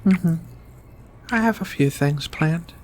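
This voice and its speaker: Woman as a slow, low-voiced gentle giant alien woman. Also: expressive, heavily acted. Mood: affectionate, reflective. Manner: tender, hesitant, murmuring hums between phrases. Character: gentle giant female alien xenobiologist, affectionate, bookish. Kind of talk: soft-spoken ASMR roleplay.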